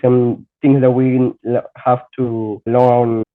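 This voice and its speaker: A young man whose first language is Spanish, speaking English as a podcast guest, halting and searching for words with frequent restarts. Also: mid-range voice, flat delivery. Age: young adult. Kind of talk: casual podcast interview. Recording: tinny phone-like line.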